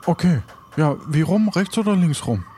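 Man acting a bumbling pirate, slightly high male voice, polite, stammering, improvised audio play, light background noise. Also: animated, moderate pace, dark tone, close to an everyday voice. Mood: polite, eager. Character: hungry, courteous, bumbling male pirate haggler.

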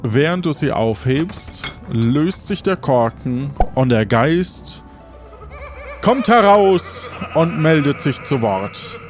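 A man's voice: a little high, sing-song, slow pace. A man narrating an audio role-playing game as its host and game master. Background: music.

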